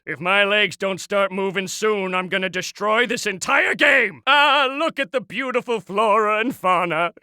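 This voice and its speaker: A tiny one-eyed cartoon villain, with a very sharp, forceful voice pitched high for a male. He is scheming and bitter, swinging through theatrical, sarcastic rants as a video game schemer bent on domination. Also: dry recording, clean studio sound, heavily acted. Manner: theatrical, sarcastic, megalomaniacal ranting.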